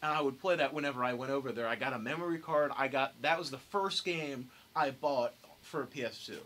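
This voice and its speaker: A man's voice: medium pitch, brisk, a bit bright. A young man chatting casually and emphatically as a panelist in a gaming discussion, on an amateur podcast recording. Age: young adult.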